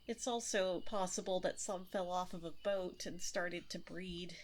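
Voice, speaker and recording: A woman's voice: lowish voice, fairly even intonation, unhurried, natural voice. A woman playing a seductive, possessive witch in an ASMR audio roleplay, coy and flirtatious with a politely sinister manner. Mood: dismissive, hospitable. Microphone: close binaural 3Dio microphone. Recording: nature sounds and fire crackle behind voice. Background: nature ambience, fireplace.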